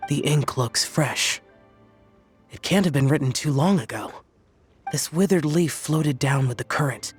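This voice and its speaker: An actor voices a young wanderer in a video game, reserved and a little melancholy. The delivery is understated and measured, mid-pitched, over faint music. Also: natural voice. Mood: matter-of-fact.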